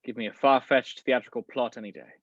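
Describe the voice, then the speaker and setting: A suave gentleman spy, read by a man for a home table read. He speaks in a refined, measured way. The recording is dry and a little dull. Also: mid-range voice, fairly even intonation, no room sound, close to an everyday voice. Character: suave, polished gentleman spy, middle-aged. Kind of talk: amateur online table read.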